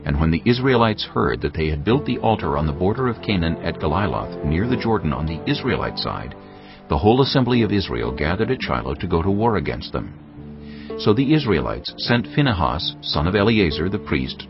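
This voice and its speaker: A man narrating a dramatized audio Bible in a measured, solemn manner. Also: low voice, highs cut off.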